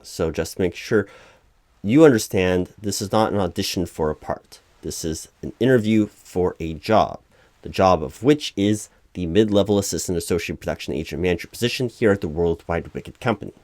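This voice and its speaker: A man playing a recruiting manager in a soft-of-script, improvised ASMR interview roleplay, speaking aloud in a halting, officious, explanatory way. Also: low-pitched, expressive, no room sound. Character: flustered, officious male recruiting manager at a company. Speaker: job interviewer in a one-sided roleplay.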